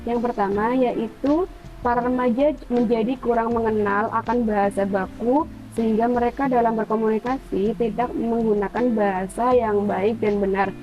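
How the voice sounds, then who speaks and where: A young Javanese woman presents her class material with a steady, recited delivery. Her voice sits a little high. Light music plays quietly beneath her. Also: moderate pace, muffled recording. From Purbalingga, Central Java. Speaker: university student presenter. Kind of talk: reading a prepared class presentation.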